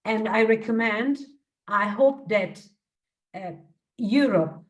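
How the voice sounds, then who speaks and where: A woman's voice: on the high side, slow pace. A woman with an Italian accent, a non-native English speaker, co-chairing an online meeting, careful and searching for words. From Italy. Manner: careful, searching for words, repeats key points. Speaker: working group co-chair. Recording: distant from the mic.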